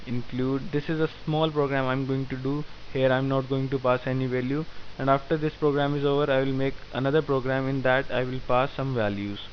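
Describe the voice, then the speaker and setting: A man teaching programming in a screen-recorded tutorial, explaining code step by step in a methodical way. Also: medium pitch. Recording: dull lo-fi sound with faint hiss.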